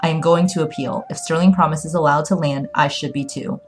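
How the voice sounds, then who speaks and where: A woman's voice: sits low, fairly even intonation, brisk, lightly acted. A woman voicing a passionate, defiant young Chinese daughter in an audiobook, urgent and indignant. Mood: determined.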